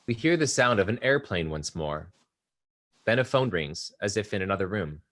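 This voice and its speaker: A man with a fairly low voice narrates stage directions at a table read. He delivers scripted description of the action between the actors' lines. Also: sing-song, moderate pace, a bit bright.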